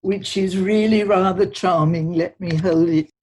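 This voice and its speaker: Older woman, podcast guest, slow speech, husky voice. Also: lowish voice, fairly even intonation, a little mellow. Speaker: guest, culinary historian. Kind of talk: podcast interview.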